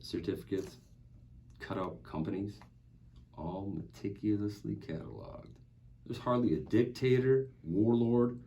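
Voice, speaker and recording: A man's voice: low-pitched, dark tone. A man at a table read playing a righteous, sardonic law agent who interrogates in a pointed, accusatory way. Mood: sardonic, pressing. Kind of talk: acted screenplay table read. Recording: noticeable background noise.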